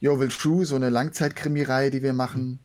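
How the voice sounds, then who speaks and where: A man speaks as the guest in a casual studio interview. His voice sits at a medium pitch, and he. He talks as a working voice actor would in relaxed conversation. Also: brisk.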